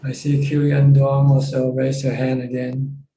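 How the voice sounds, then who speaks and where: A man with a Thai accent teaching as a Buddhist monk in an informal question-and-answer talk, halting and plain-spoken. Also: medium pitch, monotone, dark tone. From Thailand. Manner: halting, with pauses and repeated phrases. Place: video call from a roomy space. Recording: roomy distant mic with background noise.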